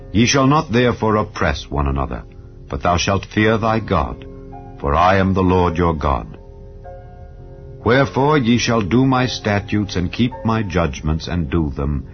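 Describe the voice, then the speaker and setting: A man reading scripture aloud in a solemn, measured way. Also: deep voice. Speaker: Bible reader. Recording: dull lo-fi audio with faint background music. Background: soft ambient music.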